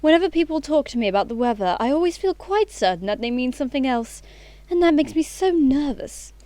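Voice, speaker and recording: A woman's voice: sits high, lively intonation, a little fast, mellow, no room sound. A woman acting an imperious, romantic young Victorian society lady in an audio play, with precise, affected, self-assured speech. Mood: prim, coy.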